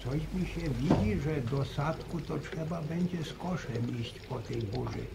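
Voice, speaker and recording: An actor playing a pious, superstitious old village grandfather in a radio play, speaking slowly. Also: a little high, gravelly. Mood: grumbling. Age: older. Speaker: radio drama character. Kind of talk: 1950s radio play acting. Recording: noisy old radio recording.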